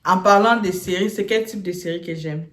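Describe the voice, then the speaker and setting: A woman's voice: on the low side, brisk. A woman from Congo chatting and quizzing her partner in a casual game, repeating herself and laughing often. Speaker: channel co-host. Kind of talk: casual quiz game between two people. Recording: echoey room with faint noise.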